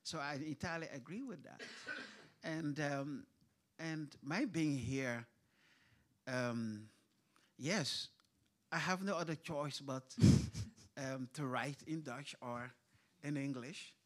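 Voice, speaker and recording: Panel speaker, man, thoughtful halting delivery, lots of ums, live event stage. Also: on the high side, fairly bright tone. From grew up in Kuwait. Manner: hesitant, many fillers and restarts. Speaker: writer on a literature panel. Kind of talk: panel discussion.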